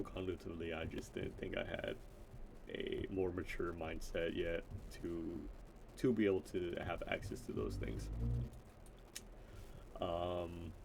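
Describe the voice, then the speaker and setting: A young man with a deep voice chatting casually and unscripted, rambling and often hesitantly cutting himself off. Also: gravelly, darkish tone, slight background noise, rough recording. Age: young adult. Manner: rambling, hesitant, self-interrupting. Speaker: gaming vlogger introducing himself.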